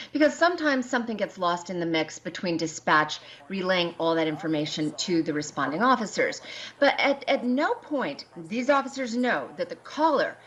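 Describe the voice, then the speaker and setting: A woman's voice: mid-range voice, a bit bright. A woman giving analytical news commentary as a panelist, speaking over a dull broadcast link. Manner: acts out hypothetical quoted questions.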